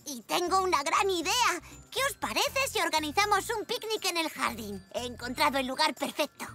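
An actor voicing a curious, cheerful five-year-old boy zebra in a preschool cartoon, earnest and expressive, with a European Spanish accent. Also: high voice, normal speed, music in the background. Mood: excited. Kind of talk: dubbed preschool cartoon acting.

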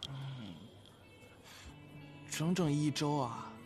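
Bashful sweet young lover in a radio drama, high pitched soft voice, music behind. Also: male voice, drawn-out words. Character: gentle, shy young man in love, easily flustered. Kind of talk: audio drama acting.

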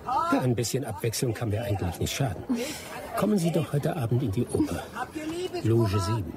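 A man's voice: medium pitch, huge pitch swings, moderate pace, a loud crowd. A man acting in a radio drama as a wry, sardonic British expatriate in the colonial tropics, speaking without hurry. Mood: teasing. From Britain (the figure). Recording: loud music behind the voice.

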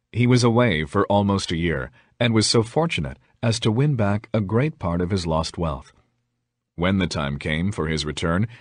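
A man's voice: sits low, no room sound, clean studio sound. A man reading a fairy tale as scripted narration, in the role of a storyteller.

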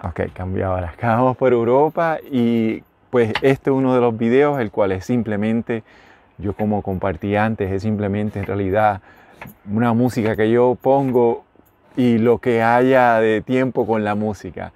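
A man's voice: lowish voice, a little slow, darkish tone, a little hiss or hum. A middle-aged man with a Puerto Rican accent, chatting casually to his phone camera inside a motorhome, rambling and correcting himself as he goes. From Puerto Rico. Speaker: vlogger. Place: inside a motorhome, small room. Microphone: phone at arm's length.

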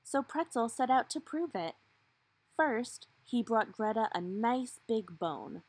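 A woman's voice: fairly high pitch, lively intonation, takes it slowly, breathy edge, no echo. A woman reading a children's storybook aloud expressively, with dramatic emphasis on key words. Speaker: storybook reader.

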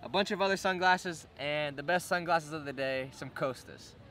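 A man's voice: a little high, moderate pace, a bit bright. A young man, a diving vlogger, chatting outdoors at a picnic table as he shows what he found, upbeat and laughing often. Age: young adult. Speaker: treasure-hunting diver and vlogger. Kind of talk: casual show-and-tell vlog.

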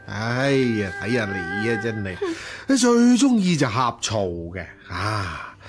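A man's voice: on the low side, wildly swooping pitch, takes it slowly, over music, heavily acted. A man acting a wealthy, lecherous and sentimental husband in a radio drama, coaxing and evasive, with a Hong Kong Cantonese accent. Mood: flustered, evasive.

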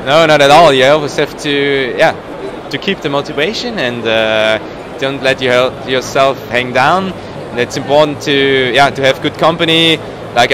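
A man of about thirty, not a native English speaker, giving a casual interview as a professional cyclist, searching for his words. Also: mid-range voice. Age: around 30. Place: indoor trade show booth. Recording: noisy trade show hall, background ambience.

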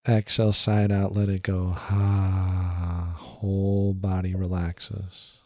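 A man leading a guided meditation in a soothing, unhurried way, speaking in short phrases with long pauses. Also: low voice, level tone, a little mellow, dry recording, highs cut off.

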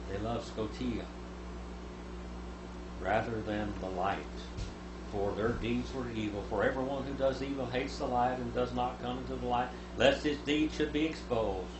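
A man in his seventies teaching the Bible at a lectern, reading scripture aloud and voicing its speakers louder. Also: mid-range voice, steady tone, normal speed. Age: 70s. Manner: reads scripture aloud, rising loud for quoted speakers. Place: small room with a lectern. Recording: echoey room, noticeable hum.

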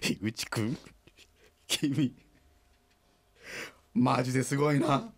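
An actor playing a good-natured, self-deprecating company president who loves lame puns, stammering and chuckling in a radio drama. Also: male voice, medium pitch, steady tone, takes it slowly, slightly rough. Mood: impressed.

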